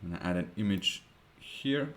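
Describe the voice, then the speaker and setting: A man with a Dutch accent, a Dutch native speaker, narrating a screen-recorded web design tutorial, thinking aloud with many pauses and fillers. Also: deep voice, lively intonation, fairly bright tone.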